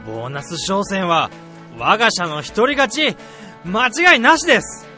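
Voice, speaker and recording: Eager, easily flustered male researcher, played by an actor, highish bright voice, radio comedy drama. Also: big pitch swings, a little slow, soft background music, exaggerated voice. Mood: triumphant, frantic. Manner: exclamatory, eager. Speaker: voice actor, also narrator.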